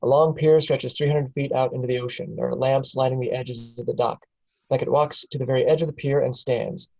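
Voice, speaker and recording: A man reading the scene directions aloud in a steady, plain way at a film table read. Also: medium pitch, brisk, vocal fry.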